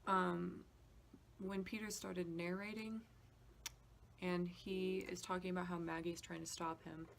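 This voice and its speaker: A woman's voice: fairly low pitch, flat delivery, unhurried, gravelly, a little hiss or hum. A woman giving a casual behind-the-scenes walkthrough as a composer, explaining things in an informal, slightly hesitant way. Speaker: podcast composer. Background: music demo clips playing.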